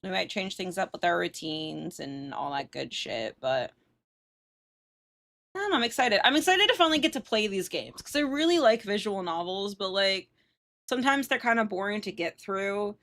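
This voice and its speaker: A woman's voice: mid-range voice, animated, normal speed, fairly bright tone. A woman livestreamer chatting casually while gaming, informal and sweary.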